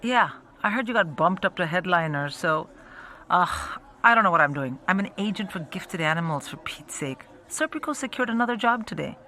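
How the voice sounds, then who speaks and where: Woman playing a dry, bright-voiced agent. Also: fairly low pitch, animated, brisk. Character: dry, defensive woman agent for gifted animals.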